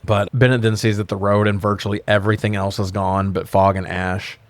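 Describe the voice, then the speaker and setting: Low-pitched man, dry close sound, podcast co-host. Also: level tone, a little fast, dry recording. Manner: profane, wry, slangy. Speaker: podcast co-host recapping a film. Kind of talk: casual comedic film recap chat.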